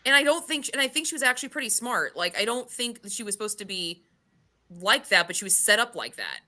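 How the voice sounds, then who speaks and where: A woman with a forceful, bright voice talks casually and with strong opinions about books. She swears easily and piles up exasperated rhetorical questions in an informal podcast style. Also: medium pitch, big pitch swings, fast talker. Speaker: book club podcast host. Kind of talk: casual opinionated book discussion.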